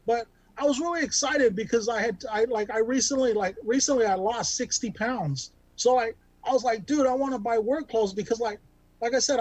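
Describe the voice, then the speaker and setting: High-voiced man doing rambling stand-up. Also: no room sound, poor audio. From Bakersfield, California. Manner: rambling, self-deprecating, many filler ums and restarts. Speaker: stand-up comic. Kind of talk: stand-up set over video call. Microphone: video call.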